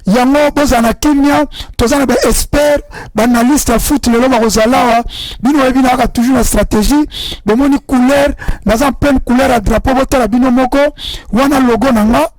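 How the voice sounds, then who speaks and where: High-voiced Congolese man hosting a talk show. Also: fairly even intonation, fairly quick, dry recording, rough recording. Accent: Congolese French and Lingala. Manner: rallying, mixes French and Lingala. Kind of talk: animated talk show monologue.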